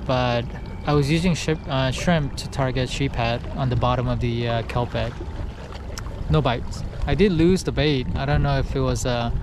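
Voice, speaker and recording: A man in his forties, a little tipsy, talking casually to a kayak-mounted camera on the sea, rambling with lots of fillers. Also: medium pitch. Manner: slow, relaxed, rambling with many fillers. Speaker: fishing vlogger. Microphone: action camera mounted on the kayak. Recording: clear sea wind and water noise. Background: wind and water noise.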